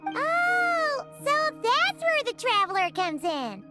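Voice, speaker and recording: A tiny game fairy girl with an extremely high, squeaky voice that swoops wildly. She is excitable and cheeky, exclaiming over loud jingly music. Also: takes it slowly, full character voice. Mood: realizing. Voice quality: squeaky, childlike. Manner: exclaiming, speaks of self in third person. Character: small excitable childlike fairy girl companion, cheeky and curious. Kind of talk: video game voice acting.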